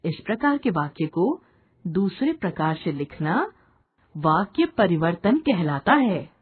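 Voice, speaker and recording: A woman's voice: lowish voice, very animated, unhurried, breathy edge. A woman teacher giving scripted educational narration in a didactic, explanatory manner. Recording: muffled narrow phone-like sound.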